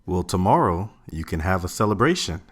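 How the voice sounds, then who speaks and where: A man reading a father's part in a family picture-book read-aloud, telling his little daughter their family's history in a slow, expressive way. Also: sits low, breathy edge, heavily acted. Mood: tender. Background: faint added music.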